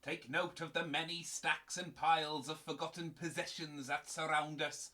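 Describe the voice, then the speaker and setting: A man's voice: a little high, flat delivery, normal speed, sharp tone. A man playing a blustering, easily flustered fake spirit medium with a grandiose showman's delivery in a comic audio drama. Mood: grandly mystical. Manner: grandiose showman's delivery, stammering when caught out. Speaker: medium leading a seance.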